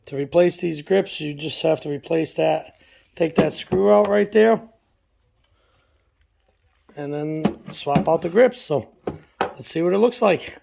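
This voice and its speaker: A man talks through a casual product review. His voice is hoarse from a cold, gravelly and rough, and sits fairly high for a man. His delivery is relaxed and folksy, full of fillers. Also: slow, pauses often, background noise. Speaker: channel host.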